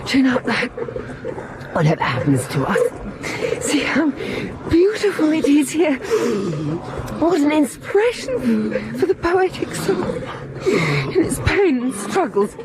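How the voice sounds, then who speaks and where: A woman's voice: sits high, wildly swooping pitch, slow, long pauses, crowd noise, full character voice. An actress playing a brave, poetic-minded woman explorer in a radio drama, theatrical and effusive. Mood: wistful rapture. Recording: very noisy 1990s radio broadcast.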